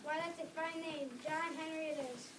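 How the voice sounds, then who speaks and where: A woman's voice: high-pitched, steady tone, normal speed, natural voice. A woman reading the part of a folksy, skeptical man in a reader's theater script. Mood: amused surprise. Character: folksy, skeptical male father and tunnel captain. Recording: distant mic with clear background noise.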